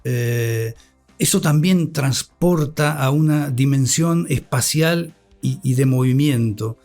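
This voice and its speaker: Older man, Argentine accent, medium pitch, reflective interview talk, articulate, faint music behind. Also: slow, a little mellow. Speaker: interview guest, radio academic.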